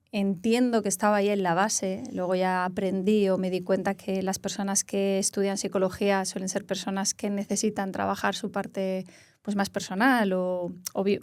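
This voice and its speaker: A woman in her forties from Castilla-La Mancha, a psychologist speaking in a relaxed interview, explaining with examples. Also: mid-range voice, clean tone, no room sound, studio quality. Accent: Spanish from Castilla-La Mancha. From Spain. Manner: reflective, explains with examples and rephrases. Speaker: psychologist guest. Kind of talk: conversational interview.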